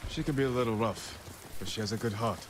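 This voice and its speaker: An actor playing an earnest, loyal samurai warrior in a video game, speaking solemnly and formally. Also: male voice, mid-range voice, fast talker, close to an everyday voice. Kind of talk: dramatic video game acting. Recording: clear background noise, game ambience.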